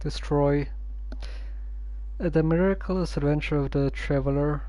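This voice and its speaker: A woman streamer giving casual, deadpan commentary as she plays a story game. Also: low-pitched, moderate pace, dry recording. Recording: voice over game music.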